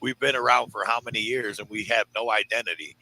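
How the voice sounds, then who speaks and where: A man's voice: on the low side, fairly even intonation, quick pace, sharp tone, no echo, rough recording. An American man on a casual panel, blunt and opinionated, swearing freely. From United States.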